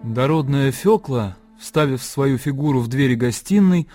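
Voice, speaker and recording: Male radio-drama narrator over music. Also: mid-range voice. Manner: measured, expressive storytelling.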